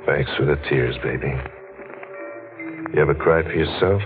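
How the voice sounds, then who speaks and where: A man's voice: very deep voice, moderate pace. An actor playing a weary, bitter, punch-drunk newspaperman in a hard-boiled, clipped 1940s radio drama style. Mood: bitter, resigned. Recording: tinny old radio broadcast, music behind.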